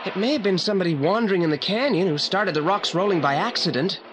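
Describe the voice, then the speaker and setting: A man's voice: high-pitched, expressive, quick pace. Brainy teen boy sleuth, formal wordy way of talking, kinda pompous, audio play, faint hiss. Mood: self-assured. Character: precocious teenage boy detective, pompous and self-assured. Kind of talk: 1980s audio drama acting. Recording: slightly noisy old audio play transfer.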